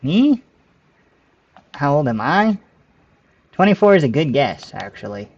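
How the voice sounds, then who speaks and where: A man's voice: on the high side, sing-song, measured pace, a little mellow, muffled recording. A man in his late twenties chatting casually with viewers while streaming a game, rambling and correcting himself as he goes, with frequent laughs. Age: 20s.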